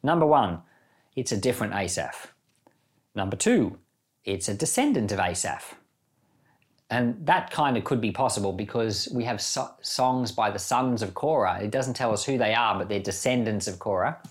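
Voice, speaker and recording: A man's voice: lowish voice, lively intonation, moderate pace. A man speaking as a pastor on a Bible podcast, reading scripture and explaining it in a plain teaching manner. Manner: explanatory, reasoning through options step by step. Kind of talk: scripture reading followed by informal teaching commentary.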